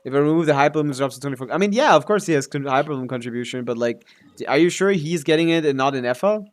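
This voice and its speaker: A man's voice: fairly high pitch, lively intonation, racing. Casual male game streamer thinking aloud. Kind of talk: casual live stream commentary, answering chat questions.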